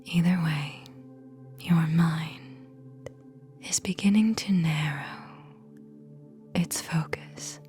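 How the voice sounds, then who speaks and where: A woman guiding a whispered ASMR sleep meditation in a soothing, hypnotic manner, speaking in short phrases with long pauses. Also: low voice, darkish tone, soft background music.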